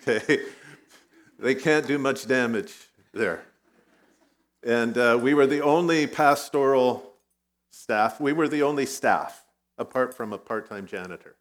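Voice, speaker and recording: A middle-aged man who grew up in Vietnam, a guest pastor giving a conversational sermon with personal stories, now and then clearing his throat. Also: mid-range voice. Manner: conversational, self-deprecating storytelling.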